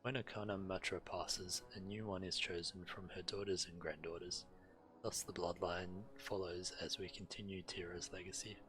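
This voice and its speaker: Male streamer, low bright voice, reading fantasy game quest dialogue aloud, music faintly behind. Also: little pitch movement, normal speed, low-quality recording.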